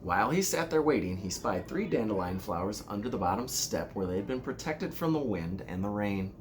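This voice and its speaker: Low-voiced man reading a storybook, background noise. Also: animated, a little fast. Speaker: elementary school principal reading aloud. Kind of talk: children's storybook read-aloud.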